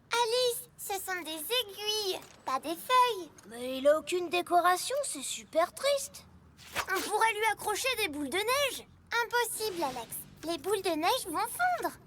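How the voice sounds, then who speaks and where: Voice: very high-pitched, animated, measured pace, background noise. An actor voicing an eager, childlike young mini monster truck in a children's cartoon dub. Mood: playful, disappointed. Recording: loud music behind. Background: loud cartoon music.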